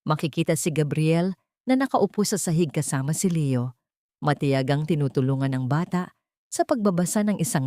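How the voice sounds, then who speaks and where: A Filipino woman narrates a scripted audio drama in a slightly low voice. Her delivery is measured, literary and sentimental. The sound is dry and close, like a studio voice-over. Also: darkish tone, dry recording. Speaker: storyteller.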